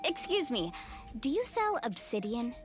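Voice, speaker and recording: An earnest young female adventurer for a video game. She is polite and determined, with a fairly high voice and expressive, lively intonation. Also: unhurried, over music.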